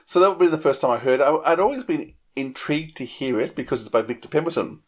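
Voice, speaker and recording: A man's voice: mid-range voice, lively intonation, fast. A man with a middle-range voice speaks as a podcast co-host. His delivery is conversational and informal, like an easy discussion. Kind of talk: casual discussion.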